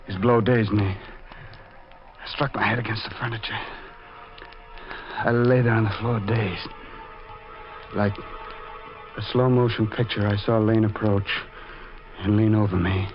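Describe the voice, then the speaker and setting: A man's voice: on the low side, little pitch movement, unhurried, pauses often, natural voice. Muffled, dramatic radio mystery sleuth-narrator, a man. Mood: tense, dazed. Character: earnest amateur sleuth narrating his own ordeal. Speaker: narrator and protagonist. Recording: muffled old radio recording over music.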